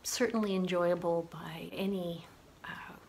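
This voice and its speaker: A woman speaks slowly in a lowish voice, chatting casually about books she has read. She muses aloud and pauses often to find her words. Faint background noise sits under the recording. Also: creaky. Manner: relaxed, musing, frequent "uh" pauses. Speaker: booktube host. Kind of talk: casual book review.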